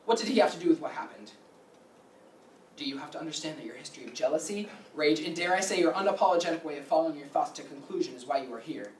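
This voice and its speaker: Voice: medium pitch, lively intonation, fast talker, close to an everyday voice. A performer in a staged play reading playing a blunt, confrontational mental hospital staffer who lectures firmly. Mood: stern, lecturing. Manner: lecturing, firm. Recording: echoey room, distant mic, faint noise.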